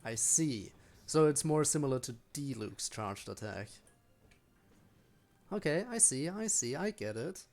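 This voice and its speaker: A man's voice: medium pitch, animated, unhurried, gravelly, pauses often, a little mellow, soft background music. A man streaming a game with casual live commentary, making wry asides and talking to himself.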